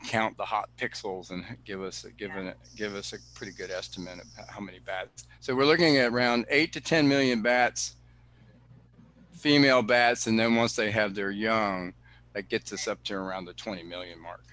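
A man, director of a cave preserve, answering questions on a video call in an explanatory way, often pausing with fillers and restarting sentences. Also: on the low side, fairly even intonation, a bit bright. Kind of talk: answering questions in an online talk.

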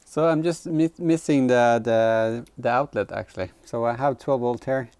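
A man's voice: mid-range voice, a little slow, a little mellow, clean tone. A man in his thirties giving casual, hesitant hands-on commentary, thinking aloud as he works in a garage workshop. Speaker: car DIY vlogger. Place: garage workshop and inside the back of a car.

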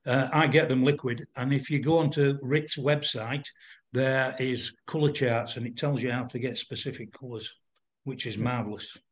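An older man with a Yorkshire accent talks through a hands-on demonstration on a video call. He explains informally, with some hesitation, in a mid-pitched voice. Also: fairly even intonation, moderate pace. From Yorkshire, England. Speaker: fly-tying demonstrator. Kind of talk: informal demonstration with questions and answers.

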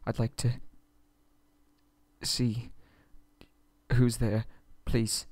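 A man's voice: mid-range voice, level tone, drawn-out words, a little mellow, no room sound. A man playing a haughty but frightened young kidnapped prince in an ASMR roleplay audio drama, stammering and pleading, close on the mic. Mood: timid, frightened.

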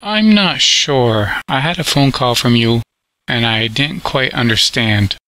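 A man's voice: medium pitch, expressive, measured pace, sharp tone, no room sound, rough recording. A man prank-calling a scammer, acting puzzled and plaintive. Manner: feigned confusion, plaintive. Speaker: scambaiter. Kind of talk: prank call.

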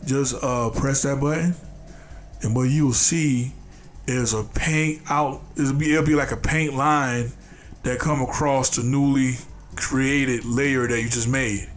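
A man's voice: mid-range voice, fairly even intonation, takes it slowly, slight background noise. A congested man with a nasal voice hosts a casual drawing tutorial, talking loosely with restarts and fillers. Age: adult, a father. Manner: loose and repetitive, restarting phrases, many fillers. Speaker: art tutorial host.

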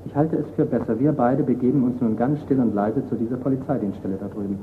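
Man playing quick, coolly ironic young sleuth. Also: mid-range voice, natural voice. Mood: coolly triumphant. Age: young adult. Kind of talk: radio crime drama acting. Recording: muffled phone-like radio recording, clear noise.

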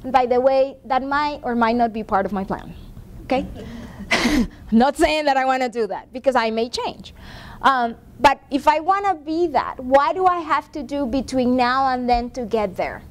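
A woman in her forties with a high voice leads a professional development workshop in a classroom. She talks conversationally, checking in with the audience with a quick "right?", and is picked up by a camera across the room. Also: animated. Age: 40s. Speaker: workshop presenter. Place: classroom with a seated audience, projector screen. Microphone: far room camera.

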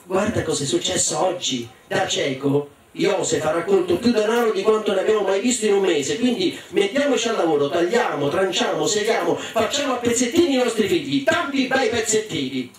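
In a very echoey room, a man acts out a wicked storybook mother, greedy and scheming. She gives orders in a highish voice, leaning hard on her words. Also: lively intonation, fairly quick, hardly any pauses. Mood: gleeful menace. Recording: echoey room, distant mic, faint noise.

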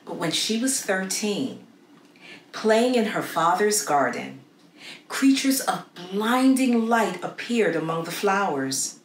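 A middle-aged woman reading a story aloud for children in an expressive, deliberate way with dramatic pauses. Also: mid-range voice. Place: small indoor room against a plain wall. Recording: roomy echo with faint background noise.

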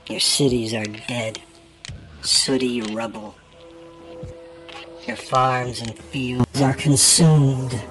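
A man reading scripture dramatically, his voice run through a voice changer. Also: mid-range voice. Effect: voice-changer processing.

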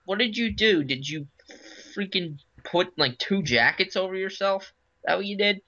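Excitable teen friend on a call, teasing, crisp bright voice with no room echo. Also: male voice, high voice, normal speed, lo-fi sound. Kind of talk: casual banter.

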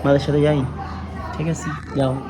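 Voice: medium pitch, flat delivery, normal speed, background noise. A vlogger with a Bengali accent from West Bengal, chatting casually and repeating phrases while narrating the day. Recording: busy crowd chatter and music behind.

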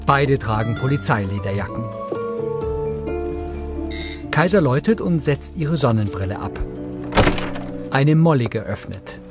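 A man delivers scripted audio description of a screen drama. His pitch sits in the middle of the male range. Loud music plays behind his voice. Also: expressive, unhurried, pauses often. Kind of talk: scripted scene narration.

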